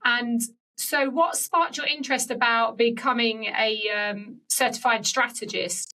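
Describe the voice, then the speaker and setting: A woman's voice: on the high side, fairly even intonation, measured pace, sharp tone, far from the mic, rough recording. A woman hosting a podcast interview conversationally, recorded slightly away from the microphone.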